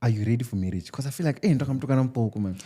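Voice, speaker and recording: Young man, South African accent, fast talker, mellow tone, informal interviewer. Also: mid-range voice. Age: 20s. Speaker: podcast host. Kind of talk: casual chat interviewing.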